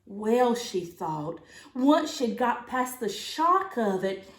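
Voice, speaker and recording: A woman teacher reading a children's storybook aloud in a dramatic, expressive way. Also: on the high side, takes it slowly. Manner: dramatic, expressive, with gasps and asides to listeners. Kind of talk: animated storybook read-aloud. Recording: roomy, echoey sound.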